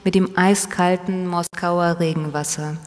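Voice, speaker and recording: A woman's voice: fairly low pitch, steady tone, a little slow. A woman whose first language is Russian reads her own essay aloud in a reflective manner, with asides to a live audience. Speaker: author giving a talk. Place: large hall with a live audience. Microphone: table microphone, seated at a panel table. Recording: long hall reverb.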